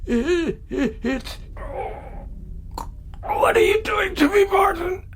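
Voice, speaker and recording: A man's voice: very high voice, animated, a little hiss or hum, heavily acted. An actor playing a frail, frightened, lonely old man in a radio drama, stammering and tearful. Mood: anguished, defiant. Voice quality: quavering, tearful. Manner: stammering, halting.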